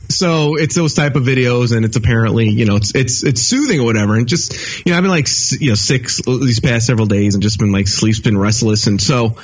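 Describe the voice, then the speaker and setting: A man's voice: medium pitch, a little fast, few pauses, husky. A man co-hosting a casual radio talk show, sounding nasal and stuffed up from a cold, rambling with lots of fillers. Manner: rambling, filler-heavy, laughs often. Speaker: co-host.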